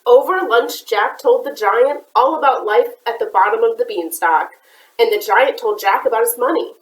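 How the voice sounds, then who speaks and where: A woman's voice: a little high, normal speed. A woman children's librarian reading a picture book aloud to kids, with chatty asides. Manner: chatty asides to young listeners. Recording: roomy echoey room.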